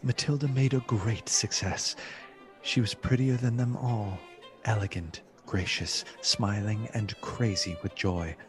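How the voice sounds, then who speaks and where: A man's voice: on the low side, fairly even intonation, unhurried, soft background music. A man narrating a literary radio play in a formal, theatrical storytelling manner.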